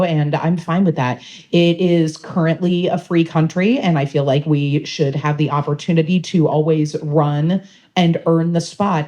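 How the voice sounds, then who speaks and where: A middle-aged woman state representative with a low voice, speaking at a town hall in a library in a chatty, digressive, self-deprecating way. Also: mellow. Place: public library meeting room.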